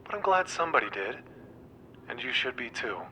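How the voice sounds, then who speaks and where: An actor playing a dramatic, needy, exasperated geeky best friend in a game, with a theatrical, emphatic, rambling delivery. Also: male voice, mid-range voice, sing-song, a little fast, pushed and bright, slight background noise, low-quality recording. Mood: exasperated, defensive.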